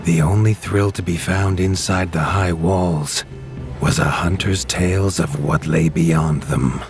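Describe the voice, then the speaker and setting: A man with a very deep, low voice tells the tale as the narrator of a storybook game. He keeps a grave, measured storyteller's pace. The studio voice-over sounds clean. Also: steady tone.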